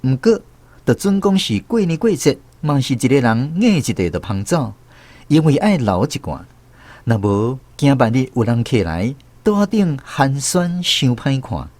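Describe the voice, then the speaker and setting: A man's voice: medium pitch, big pitch swings, a little slow, darkish tone, no echo. A man with a Taiwanese accent narrating a scripted first-person story as a radio drama storyteller.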